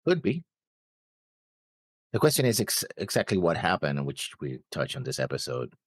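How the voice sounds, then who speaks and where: A man co-hosting a reaction show, talking casually through notes with halting, noncommittal phrasing. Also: deep voice, wildly swooping pitch, no room sound. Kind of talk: casual discussion, reading out notes.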